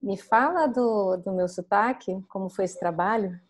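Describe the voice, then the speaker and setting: A woman radio host interviewing a guest, asking friendly, quick follow-up questions in a conversational way. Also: mid-range voice.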